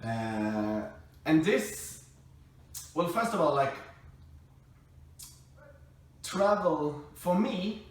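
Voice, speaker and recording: A man's voice: fairly high pitch, sing-song, very slow, pauses often. A young man who is not a native English speaker, telling stories casually to camera with frequent laughs and fillers. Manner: laughs often, hesitant with many fillers. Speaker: groom-to-be co-hosting a couple's video. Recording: echoey room from far off, faint hiss.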